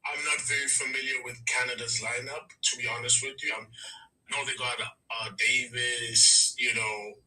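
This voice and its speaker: Bright-voiced man in slangy football banter. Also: mid-range voice, moderate pace, distant mic, rough recording. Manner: slangy, repeats words excitedly. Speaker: football show host. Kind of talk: casual banter and match analysis.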